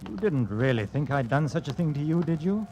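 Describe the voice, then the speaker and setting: An actor playing a smooth, controlling man in an old-time radio murder mystery. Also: on the high side, lively intonation, a little fast, a little mellow. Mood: explaining intently. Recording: noisy old radio recording.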